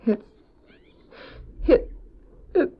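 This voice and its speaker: An actress playing a tender, naive and hopeful biblical wife and mother, emotive and hesitant, in an audio drama. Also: very slow. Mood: tearful. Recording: muffled old cassette drama with music.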